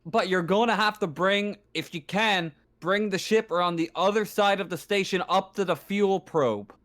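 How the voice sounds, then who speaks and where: Man as exasperated space station operator, fairly high voice, halting, sweary, tabletop sci-fi game. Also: fairly bright tone.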